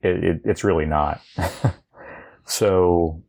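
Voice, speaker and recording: Deep, gravelly-voiced man. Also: steady tone, drawn-out words, darkish tone. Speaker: podcast co-host. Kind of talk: casual chat about video game news.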